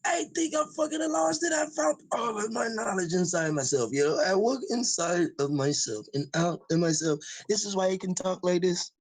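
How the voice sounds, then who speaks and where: A man's voice: sits high, moderate pace, few pauses, low-quality recording. A man in a put-on Caribbean island accent, rambling and boasting in profane casual chat on a call-in show.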